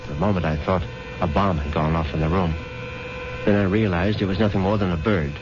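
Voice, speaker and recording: A man's voice: low voice, steady tone, brisk, close to an everyday voice. An actor playing a smug, urbane rich widower who narrates his own scheme with dry irony in a 1970s radio drama. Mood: shaken. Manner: self-satisfied, urbane, drily ironic. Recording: muffled vintage radio broadcast, loud music behind.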